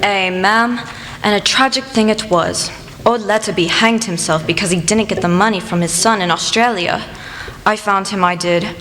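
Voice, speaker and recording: A woman's voice: medium pitch, moderate pace, a bit bright, lightly acted. A woman acting in an amateur radio play as a talkative rustic postman, using dialect turns and emphatic repetition. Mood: solemn. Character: folksy rustic village postman, talkative and gossipy. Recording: echoey hall with faint noise.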